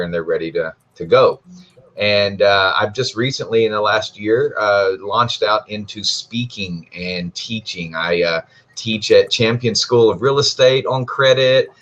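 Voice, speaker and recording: A man in his fifties with a Texan accent, introducing himself on a video call in a relaxed, hesitant way. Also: fairly low pitch, measured pace, dull audio. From Texas. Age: 50s. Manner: relaxed, hesitant with many uhs. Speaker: guest. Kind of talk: casual self-introduction.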